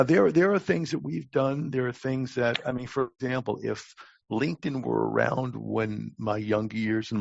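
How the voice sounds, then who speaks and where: A man in his sixties with a gravelly voice, telling stories casually as a podcast guest in a halting, wry way with repeated words. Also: medium pitch, darkish tone, dry recording. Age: 60s. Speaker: podcast guest, longtime lifeguard. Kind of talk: casual interview storytelling. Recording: dull, lo-fi close recording.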